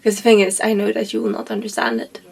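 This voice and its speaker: A young woman with a Swedish accent talks about a book she loves in a loose, rambling way, full of "like" and "you know". Her voice is gravelly with vocal fry and has a husky, breathy edge. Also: medium pitch, sing-song, quick pace, a little mellow. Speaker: book vlogger. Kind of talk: casual rambling book talk.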